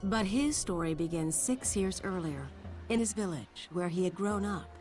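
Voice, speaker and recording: Woman acting frontier heroine, wry, tough, lively intonation, game cutscene narration, clear musical backing. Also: lowish voice, moderate pace, faint music behind, lightly acted. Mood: solemn storytelling. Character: tough, wry frontier businesswoman narrating her family's saga.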